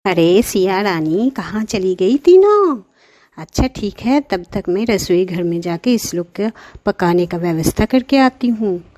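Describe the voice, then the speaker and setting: A woman's voice: animated, measured pace, dark tone, no echo, lightly acted. Woman voicing a gloating, greedy cartoon witch. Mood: scheming. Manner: gloating, with high-pitched cackles. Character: gleeful greedy witch eager to cook her captives. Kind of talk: children's cartoon villain acting.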